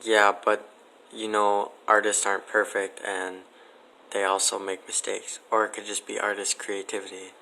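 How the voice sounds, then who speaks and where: A man giving casual, matter-of-fact interview answers in a deadpan way. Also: lowish voice, moderate pace, gravelly, low-quality recording.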